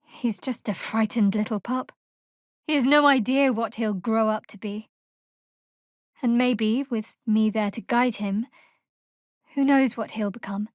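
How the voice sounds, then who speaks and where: Casting: actress as sardonic young female dark cleric for a fantasy game, higher-pitched voice, measured and guarded. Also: no echo, clean studio sound, close to an everyday voice. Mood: teasing, intimate. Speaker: video game voice actor. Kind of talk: video game character dialogue.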